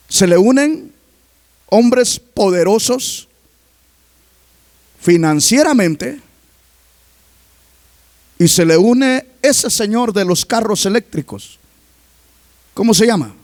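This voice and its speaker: A man preaching a sermon as pastor, reading scripture aloud and constantly asking the congregation questions, rising to emphatic shouts. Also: high-pitched, no echo. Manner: reads scripture aloud between remarks.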